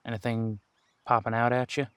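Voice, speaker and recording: A man playing a seasoned, wry detective who patiently mentors a rookie in an audio roleplay drama, musing aloud in unhurried, folksy phrasing. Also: mid-range voice, little pitch movement, a bit bright, smooth, no room sound, lightly acted. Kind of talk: roleplay audio drama, one-sided conversation.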